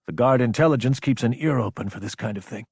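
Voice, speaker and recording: A man plays a young prince turned officer in an audiobook, blunt and commanding. His voice is medium in pitch and slightly husky, recorded very dry and close. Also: quick pace, darkish tone, dry recording. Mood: threatening.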